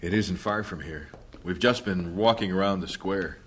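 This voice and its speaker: Man acting as low-voiced, patient missionary husband. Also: moderate pace, a little hiss or hum, natural voice. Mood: gentle, soothing. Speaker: actor in an audio drama. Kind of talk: dramatized audio drama dialogue.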